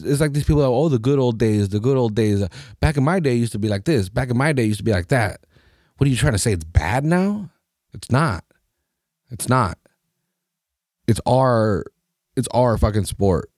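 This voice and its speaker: Ranting man, dark voice. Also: mid-range voice, no echo, studio quality. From Mexico. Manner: profane, animated, mocking voices of others. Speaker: podcast host. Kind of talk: casual opinionated rant.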